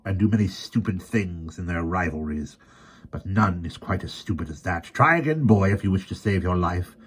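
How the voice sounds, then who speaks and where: A man's voice: low-pitched, husky. A man playing a cold, menacing rebel leader. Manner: cold, threatening.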